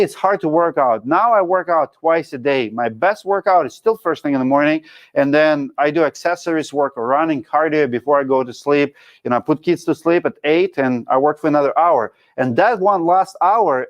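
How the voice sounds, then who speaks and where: A man's voice: fairly high pitch, brisk. Frank Russian-accented man coaching. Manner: frank, coaching, drops articles. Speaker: show host reading live chat. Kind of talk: casual livestream talk.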